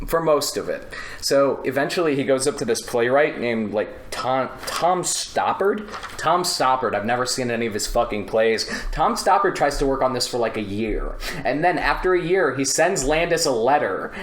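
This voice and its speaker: A man telling behind-the-scenes stories in a casual podcast chat, sweary and animated. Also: mid-range voice. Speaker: podcast panelist. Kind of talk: casual storytelling in a film discussion. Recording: echoey room.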